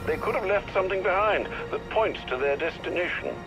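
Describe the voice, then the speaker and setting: An actor playing a polite, loyal butler in a video game, speaking in a formal, measured manner. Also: male voice, high voice, lively intonation, rough recording. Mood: helpful, concerned. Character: polite, loyal male butler assisting a vigilante. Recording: loud music over the voice.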